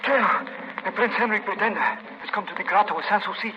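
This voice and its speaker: Guy doing frantic messenger man, quick talker, reverby old 30s radio drama, calling out. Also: high voice, close to an everyday voice. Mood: urgent. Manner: urgent, calling out. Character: urgent male herald serving a voodoo priest. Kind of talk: 1930s radio melodrama acting. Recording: muffled, noisy 1930s radio, long reverb.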